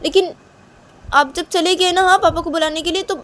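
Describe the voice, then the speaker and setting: A woman as a very shrill, whiny pleading young girl. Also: fairly even intonation, normal speed, a bit bright. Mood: insistent. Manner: insistent, whiny, protesting. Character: pleading young girl protesting she tells the truth. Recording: clear background noise.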